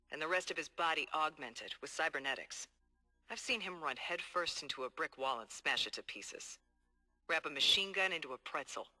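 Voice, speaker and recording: An actor playing a determined, hard-boiled police detective dictating earnest, streetwise case logs in a video game. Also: male voice, fairly high pitch, sharp tone. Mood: resolute, confident. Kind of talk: video game audio log monologue.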